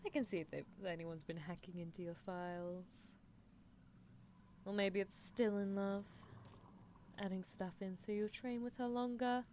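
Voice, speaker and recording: A woman's voice: mid-range voice, steady tone, moderate pace, darkish tone, lightly acted. A woman voicing a gleefully unethical horse-girl scientist in an ASMR roleplay, musing in a coolly clinical, teasing manner. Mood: musing, possessive. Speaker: streamer performing character roleplay. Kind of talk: ASMR roleplay, improvised character monologue. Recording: clear background noise.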